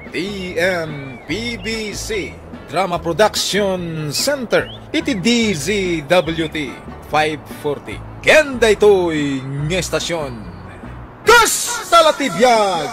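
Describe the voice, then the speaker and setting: High, animated male radio announcer over music. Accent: Ilocano. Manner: emphatic, drawn-out delivery. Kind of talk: dramatic scripted radio announcing.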